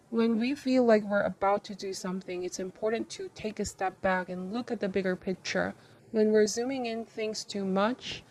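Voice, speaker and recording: A woman hosting a tarot reading, speaking to viewers in short measured phrases with a reflective, advising manner. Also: medium pitch, a little mellow. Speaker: tarot reader and channel host.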